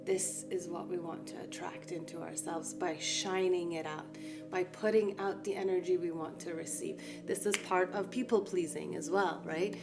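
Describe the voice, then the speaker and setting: A woman whose first language is Farsi, giving a calm, reflective guided art lesson with soft emphasis. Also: lowish voice, moderate pace, gravelly, music in the background. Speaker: art therapy instructor.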